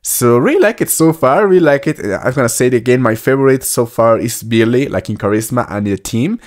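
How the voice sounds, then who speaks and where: A man in his twenties giving casual, off-the-cuff reaction commentary in halting, repetitive phrases. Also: mid-range voice, animated. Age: 20s. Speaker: YouTube reaction host. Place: indoor room at a desk, music behind. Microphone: desktop microphone with headset worn. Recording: music playing behind the voice.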